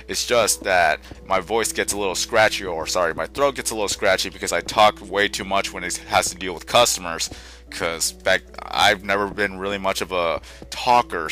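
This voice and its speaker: A tired young man rambling through casual gameplay commentary, often restarting his sentences and correcting himself, with a soft, quiet voice. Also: sits low. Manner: rambling, self-correcting chatter. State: tired from little sleep. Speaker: gaming channel host. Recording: game music faintly underneath. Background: faint game music.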